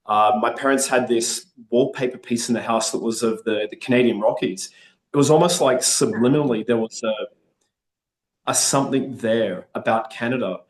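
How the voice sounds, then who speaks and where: A man's voice: medium pitch, normal speed. Upbeat Australian man, echoey room. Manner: upbeat, chatty, frequent restarts. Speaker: guest. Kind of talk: casual interview.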